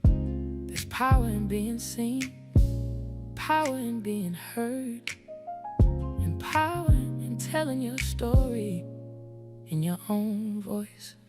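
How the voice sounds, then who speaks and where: Female spoken-word voice: high, very slow, measured, with loud music underneath. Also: lively intonation. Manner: slow, deliberate, poetic delivery.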